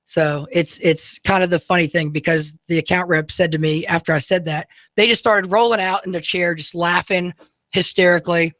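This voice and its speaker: Man in his 30s, high unusual voice, upbeat talk radio host, dry studio sound. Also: steady tone, normal speed, a bit bright, no room sound. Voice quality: high, unusual voice often mistaken for a woman. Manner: upbeat, motivational, many fillers. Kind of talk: talk radio monologue with ad reads. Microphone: studio microphone.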